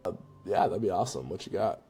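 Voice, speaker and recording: A man's voice: deep voice, animated, fast, dark tone, soft background music. A man giving a casual, conversational walkthrough as a tutorial presenter, in an informal way. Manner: informal, conversational, checks in with "right?" and "you know". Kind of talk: casual screen-recorded software walkthrough.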